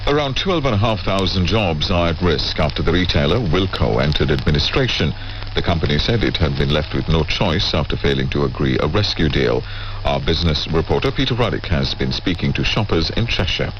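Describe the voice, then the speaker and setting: A man's voice: extremely low pitch, lively intonation, brisk. A British man reading scripted news as a radio presenter, heard over shortwave radio. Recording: dull, noisy shortwave radio relay.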